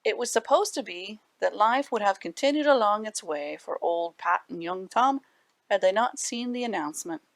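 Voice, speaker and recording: A woman reading aloud to children as a library storyteller, animated and dramatic with deliberate phrasing. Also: mid-range voice, no echo.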